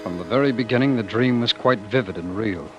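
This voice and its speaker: An actor playing a weary, defiant former world ruler in love, with halting, theatrical delivery in the style of old radio drama. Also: male voice, fairly low pitch, lo-fi sound, loud music behind the voice, close to an everyday voice. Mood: haunted, reflective. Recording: noisy vintage radio broadcast.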